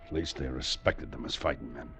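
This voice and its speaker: An American man playing a gruff, seasoned frontier cavalry sergeant, blunt and knowing, gravelly, in mid-century radio drama style. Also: rumbling low, brisk, fairly bright tone, full character voice. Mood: grim. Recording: old radio recording, faint noise.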